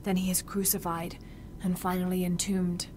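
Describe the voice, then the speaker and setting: A woman playing a young, determined, thoughtful adventurer in naturalistic video game dialogue, speaking in a measured, inquisitive way. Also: completely flat delivery, lightly acted. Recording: game ambience and rumble behind voice.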